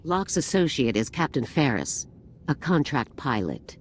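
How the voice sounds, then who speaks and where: Strict game army officer lady, deep voice, no nonsense, faint noise behind. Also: big pitch swings, measured pace. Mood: stern, businesslike. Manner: stern, clipped, formal. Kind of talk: video game voice acting.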